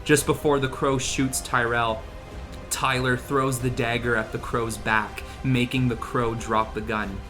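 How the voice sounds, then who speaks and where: Young man, mid-pitched, casual podcast host with a jokey, sweary manner, music bed underneath. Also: flat delivery. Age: young adult. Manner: jokey, profane, quick to laugh. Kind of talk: casual chat and script read-aloud among friends.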